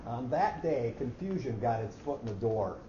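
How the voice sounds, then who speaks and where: A fussy male office clerk played by a man narrates his murderous scheme in precise, formal phrases, as in a staged reading. The sound is dull and echoey, with clear background noise. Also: medium pitch, animated, normal speed, lightly acted. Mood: aggrieved. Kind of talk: staged dramatic reading of a short story. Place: echoey hall at a live reading. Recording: muffled, noisy, echoey hall recording.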